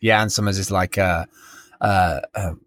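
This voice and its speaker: A man's voice: low-pitched, steady tone, measured pace, a bit bright, dry recording, studio quality. A man co-hosting a podcast in casual, rambling chat, often interrupting himself as he tells a story. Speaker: podcast co-host.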